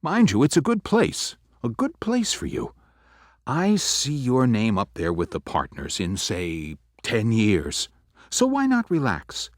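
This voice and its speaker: A man's voice: mid-range voice, slow, no room sound, studio quality, heavily acted. A man playing a jovial, teasing older law boss. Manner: avuncular, wry and lively. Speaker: law firm senior partner. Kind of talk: audio drama acting.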